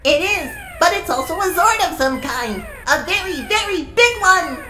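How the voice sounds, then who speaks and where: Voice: extremely high pitch, big pitch swings, normal speed, a crowd in the background. An actor playing a small, fussy, anxious robot assistant in an audio drama, exclaiming fretfully. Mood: alarmed. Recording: echoey, noisy recording.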